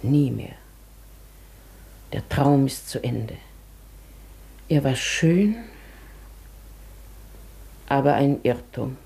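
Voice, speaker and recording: A woman playing a dignified, wistful hotel guest in a German radio drama, with a soft, often breathy voice and a formal, measured manner. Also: a bass voice, exaggerated voice. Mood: wistful, resigned. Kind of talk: German radio play acting.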